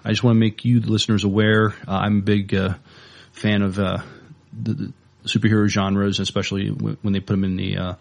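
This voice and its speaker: A man co-hosting a podcast, chatting casually about movie and DVD news in a hesitant, halting way. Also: lowish voice, creaky. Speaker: podcast co-host.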